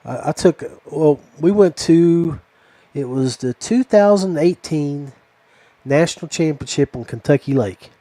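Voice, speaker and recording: A man with a somewhat high voice hosts a casual podcast chat. He spins rambling, folksy stories, and the sound is fairly dry and close. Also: animated, a little slow, warm tone, no room sound. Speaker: podcast host.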